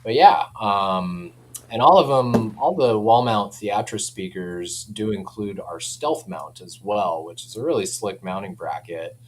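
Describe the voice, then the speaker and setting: Low-voiced man chatting, noisy humming background. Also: unhurried. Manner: chuckling, enthusiastic, informal. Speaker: speaker company representative giving a product demo. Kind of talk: casual product chat. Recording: clear background noise with hum.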